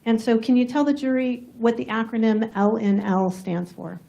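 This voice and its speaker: A soft-spoken woman prosecutor questioning a witness in a courtroom, measured and methodical. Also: on the high side, fairly even intonation, gravelly. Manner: measured, formal, methodical questions with some fillers.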